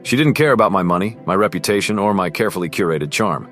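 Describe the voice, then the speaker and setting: A man playing a cocky rich high school boy who is quietly smitten, narrating and speaking in a teen romance drama. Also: expressive, quick pace, soft background music. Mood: brooding, reflective. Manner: smug, cool, guarded. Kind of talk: first-person narration and dialogue in a teen romance.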